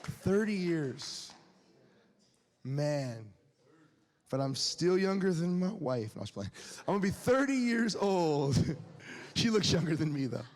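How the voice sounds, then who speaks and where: A young man in his late twenties preaches with a bright, high-pitched voice, loose and conversational, tossing quick asides to the crowd. The sound carries a long reverb from the hall. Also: big pitch swings. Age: 20s. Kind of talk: sermon.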